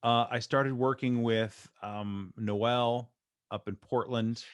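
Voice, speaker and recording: A man's voice: medium pitch, steady tone, slow pace. A man with a voice in the middle range hosts a podcast. He keeps the talk loose and joking and laughs often. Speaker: podcast host. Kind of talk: casual podcast chat.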